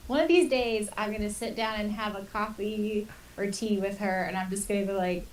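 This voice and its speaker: A woman's voice: mid-range voice, normal speed. A woman co-hosting a podcast, chatting casually as a fan in an informal discussion. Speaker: podcast co-host. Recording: distant mic with faint hiss.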